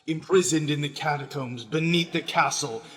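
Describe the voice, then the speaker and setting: A man's voice: on the high side, moderate pace, close to an everyday voice. An actor playing a male pony orator in a radio drama, delivering impassioned, rhetorical political speech against a tyrant ruler. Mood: accusing, fervent. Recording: echoing hall with long reverb.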